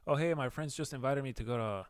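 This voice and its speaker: A man's voice: mid-range voice, quick pace, clear, resonant tone, no echo. Easygoing young man, dry close sound. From Venezuela. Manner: easygoing, hesitant, with many fillers. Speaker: airline pilot, boyfriend guest. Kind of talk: casual couple Q&A chat.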